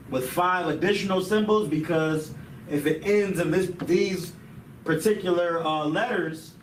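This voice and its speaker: A man with a slightly high voice leads a relaxed language lesson, dropping "man" and "you know what I'm saying" into his sentences. He sounds set back from the mic in an echoing room, with a faint hiss underneath. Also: distant mic. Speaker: Hebrew language teacher. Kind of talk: casual classroom lesson.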